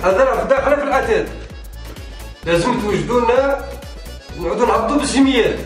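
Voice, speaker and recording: A man's voice: very high voice, lively intonation, fast. A man with an Algerian accent playing an insistent, repetitive idler in a comedy sketch. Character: insistent idler demanding cardboard for loafers. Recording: very echoey room, faint music.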